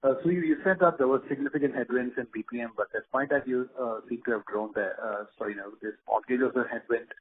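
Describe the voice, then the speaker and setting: A man calling in as an analyst, asking polite questions in a husky voice with hesitant, conversational phrasing. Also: medium pitch, fast, few pauses. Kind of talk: polite question on an earnings call. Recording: rough, narrow phone line.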